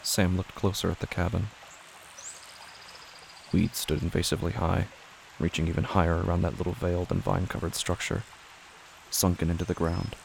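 A man narrating a scripted horror story in a hushed, deliberate, brooding, gravelly manner. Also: low-pitched, flat delivery, a little hiss or hum.

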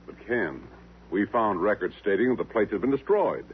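An actor playing a gruff, authoritative government bureau chief in a 1950s radio drama, given to exclaiming. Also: male voice, fairly low pitch, animated, normal speed, slight background noise, lightly acted. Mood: astonished, disbelieving. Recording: tinny, band-limited 1950s radio recording.

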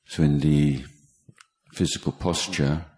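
An extremely low-pitched man guides a meditation. He speaks slowly and carefully, breaking his instructions into short phrases with pauses between them. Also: fairly even intonation, darkish tone. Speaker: meditation teacher, Buddhist monk. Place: meditation retreat talk in Thailand.

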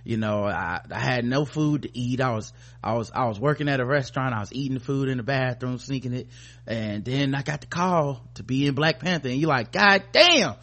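A man's voice: medium pitch, brisk, a bit bright. An American man hosting a podcast, talking informally and opinionatedly, with rambling runs and casual profanity. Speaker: podcast host.

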